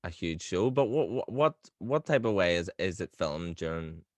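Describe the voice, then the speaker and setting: A man with a very low voice hosts a casual chat, laughing easily and circling his questions with hesitations. The sound is close and dry, with no room around it. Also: lively intonation, normal speed, darkish tone, no echo. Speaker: podcast host.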